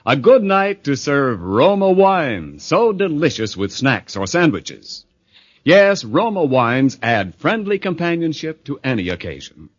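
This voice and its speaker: A man delivering a polished, emphatic 1940s radio advertising pitch as a commercial announcer. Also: big pitch swings, unhurried, no echo. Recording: dull, dry vintage radio recording.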